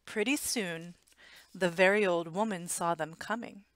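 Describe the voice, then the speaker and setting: Expressive, slow-reading woman at storytime. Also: on the low side, no echo. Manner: dramatic emphasis, asides to listeners. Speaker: storytime reader. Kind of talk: expressive read-aloud for young children. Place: small indoor room at home. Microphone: headset boom mic.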